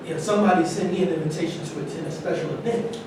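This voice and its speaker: A troubled, defensive former football player in a stage play, read by a man. His high voice rings from a distance in a very echoey, noisy room. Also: fairly even intonation, quick pace, close to an everyday voice.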